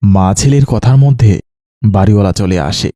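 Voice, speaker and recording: Mellow-voiced male cartoon story narrator. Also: on the low side, normal speed, dry recording, studio quality.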